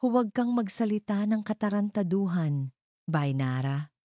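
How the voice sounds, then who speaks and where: A cold, ambitious male CEO from a romance drama, acted out by a woman narrator. He is stern, curt and controlling. The voice is mellow and recorded dry. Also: mid-range voice, expressive, unhurried, no room sound, voice pushed up, natural voice.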